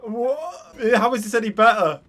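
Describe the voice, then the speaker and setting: Lively woman giving casual game commentary. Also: a little high, moderate pace. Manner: wry, reactive, informal. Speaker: gaming streamer. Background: game music.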